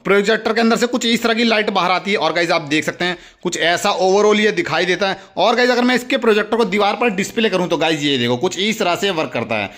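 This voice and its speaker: A man's voice: sits high, expressive, quick pace, few pauses, a bit bright. An Indian man hosting a toy review, enthusiastically showing items and repeatedly addressing his viewers. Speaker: toy review channel host. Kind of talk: excited toy unboxing commentary.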